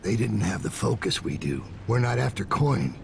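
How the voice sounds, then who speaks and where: An actor playing a weary, ailing older master assassin in a video game, a grave and terse mentor with a gravelly voice. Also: male voice, lowish voice, big pitch swings, normal speed. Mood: grim, focused. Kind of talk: dramatic game dialogue. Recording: clear background ambient noise.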